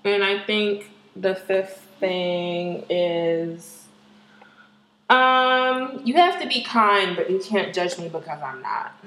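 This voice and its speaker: A woman in casual banter, teasing and wry, with quick deadpan jokes. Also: medium pitch, reverberant, poor audio.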